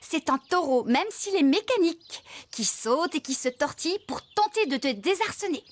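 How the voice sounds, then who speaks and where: A woman voicing a cheerful, enthusiastic young woman farmer in a children's cartoon, with a lively, expressive delivery. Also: very high-pitched, moderate pace. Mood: eager.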